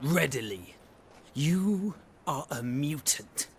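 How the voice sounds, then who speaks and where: An actor playing a scornful, zealous street preacher in a video game, pompous and declamatory. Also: male voice, fairly high pitch, expressive, takes it slowly. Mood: contemptuous.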